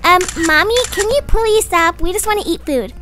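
A woman voicing an excitable, squabbling little child getting ready for school in a roleplay story. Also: very high voice, moderate pace, slight background noise, heavily acted. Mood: whiny.

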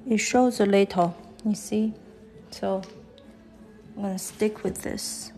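A woman with a Chinese accent, whose first language is Chinese, talks haltingly while she draws fashion illustrations on a live stream. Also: medium pitch, steady tone, mellow, faint music behind. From China. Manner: halting, thinking aloud while drawing. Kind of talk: live-stream drawing commentary. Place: at a desk, drawing on paper.

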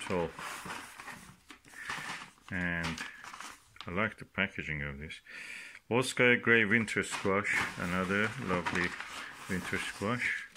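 A man with a fairly low voice talks very slowly and casually, as in a homemade gardening vlog. He pauses between short phrases, unscripted and halting. The recording is clearly noisy, with clicks. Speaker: gardening vlogger. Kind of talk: casual unscripted commentary while showing items. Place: indoors at a work table.

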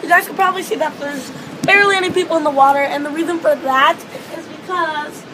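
A hoarse teenage girl rambles through a casual vlog, her voice high and strained from losing it. She talks close to a phone on a seaside balcony while ocean waves and wind wash clearly behind her. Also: quick pace. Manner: chatty, rambling with many fillers. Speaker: vlogger. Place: outdoor hotel balcony above a beach. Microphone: phone or webcam close in front. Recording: wind and wave noise outdoors. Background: ocean waves and beach noise.

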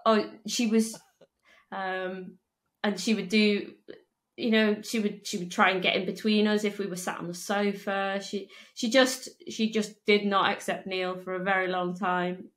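A woman, chatting casually as an interview guest, halting and restarting often. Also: medium pitch, little pitch movement. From Liverpool, England. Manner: halting, with frequent restarts and ums. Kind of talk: casual conversational storytelling. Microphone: earphone mic on a video call. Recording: echoey room.

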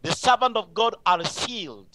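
High-pitched, bright-voiced man preaching. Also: animated, moderate pace, a little hiss or hum. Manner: emphatic, repetitive exhortation. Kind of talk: live radio sermon.

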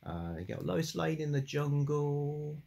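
An older man hosting a relaxed, rambling chat about old books from his home study, with a calm delivery and many fillers. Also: fairly high pitch, measured pace, vocal fry. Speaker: book channel host.

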